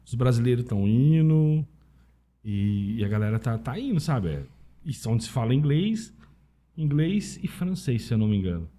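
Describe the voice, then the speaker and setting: A Brazilian man with a husky voice talking casually as a podcast guest, informal and anecdotal, often checking in with tag questions. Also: mid-range voice, big pitch swings, moderate pace, mellow. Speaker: podcast guest, Italian citizenship specialist. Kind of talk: casual conversational interview.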